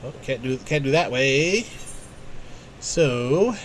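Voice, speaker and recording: A man's voice: mid-range voice, expressive, slow, a little hiss or hum, poor audio. A man giving casual let's-play commentary as a game streamer.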